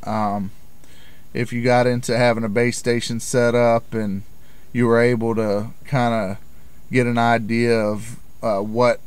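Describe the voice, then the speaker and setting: A man's voice: mid-range voice, level tone, unhurried, clean tone. A middle-aged American man giving an informal, plain-spoken explanation in the manner of a hobbyist vlogger. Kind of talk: informal explanatory talk to camera.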